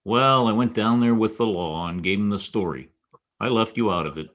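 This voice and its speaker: An actor playing a wisecracking, world-weary private detective in a noir radio drama, wry and sardonic. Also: male voice, fairly low pitch, normal speed, a bit bright, close to an everyday voice. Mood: casual, wry. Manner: wry, sardonic, clipped tough talk. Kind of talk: old-time radio noir acting and first-person narration.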